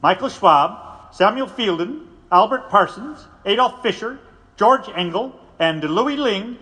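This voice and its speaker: A man's voice: high-pitched, animated, measured pace, sharp tone, heavily acted. An actor playing a vehement jury foreman in an audio drama, making a formal proclamation in a declamatory manner.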